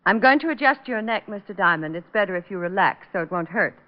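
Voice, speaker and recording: An American actress playing a guarded young salon masseuse with polite, clipped control, in old radio drama style. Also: female voice, medium pitch, animated, fast talker, close to an everyday voice. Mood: cold, controlled. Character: guarded young female salon masseuse hiding cold resentment. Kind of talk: 1940s-50s American radio drama acting. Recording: muffled, noisy old radio recording.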